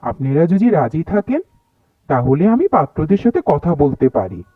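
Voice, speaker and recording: A man voicing a courteous, flattering village matchmaker in a cartoon, polite and ingratiating. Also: fairly high pitch, moderate pace, dry recording, narrow, tinny audio. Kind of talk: Bengali cartoon voice acting.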